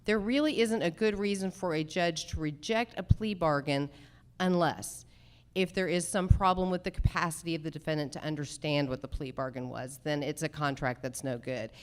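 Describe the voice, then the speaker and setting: Deliberate woman with a lowish voice. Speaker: candidate for district judge. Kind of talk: formal forum answers, measured campaign speech.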